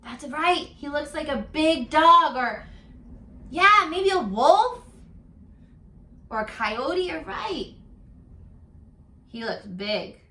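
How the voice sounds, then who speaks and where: A woman reading aloud to children as a teacher, expressive and addressing them directly with prompting questions. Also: high-pitched, slow pace, pauses often, roomy sound.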